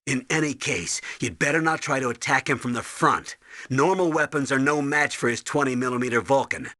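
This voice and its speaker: An actor playing a stern older colonel in a video game, briefing and giving orders with a husky, commanding voice. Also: male voice, mid-range voice, brisk, pushed and bright, no echo, clean studio sound, close to an everyday voice. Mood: instructive. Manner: commanding, urgent, instructive.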